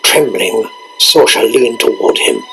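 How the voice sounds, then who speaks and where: High-pitched man narrating over loud music. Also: wildly swooping pitch, a little slow. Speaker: storybook narrator. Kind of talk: scripted dramatic storybook narration. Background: loud orchestral music and sound effects.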